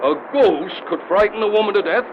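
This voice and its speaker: An actor playing a brisk, skeptical police lieutenant in an old radio drama, authoritative and dismissive. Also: male voice, sits high, close to an everyday voice. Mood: dismissive, mocking. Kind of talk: 1930s radio drama acting. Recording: dull, noisy old radio broadcast recording.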